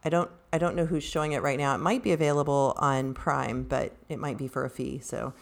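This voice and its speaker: A woman with a strikingly low voice that often drops into creaky fry. She talks through her thoughts in a measured, halting way, as a participant in an unscripted book discussion. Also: fairly even intonation.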